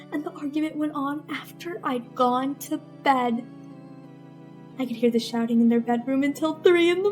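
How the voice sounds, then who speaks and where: A woman playing a bitter, scheming teenage girl in a melodramatic old-time radio suspense play, with music under her. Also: sits high. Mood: scheming narration. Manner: melodramatic, resentful. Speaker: narrating lead in a radio melodrama.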